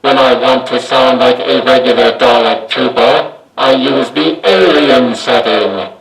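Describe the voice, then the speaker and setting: A man hosting a do-it-yourself tutorial, walking through the steps in a measured, matter-of-fact way. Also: mid-range voice, creaky, echoey room, rough recording. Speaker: DIY video host. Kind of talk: step-by-step tutorial narration.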